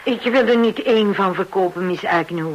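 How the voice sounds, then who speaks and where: A woman's voice: medium pitch, moderate pace, dull audio, close to an everyday voice. A woman playing a polite, matter-of-fact widow in a radio play. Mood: gently firm. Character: polite, matter-of-fact widow Missus Barclay showing paintings. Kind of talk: Dutch-language radio play acting. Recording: hissy, noisy old radio recording.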